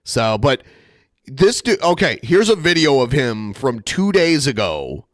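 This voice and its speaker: A man's voice: mid-range voice, very animated, measured pace, no echo, studio quality. A man hosting a podcast, talking in a rambling, sarcastic way with lots of "like" fillers. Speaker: podcast host. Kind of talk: casual reaction commentary.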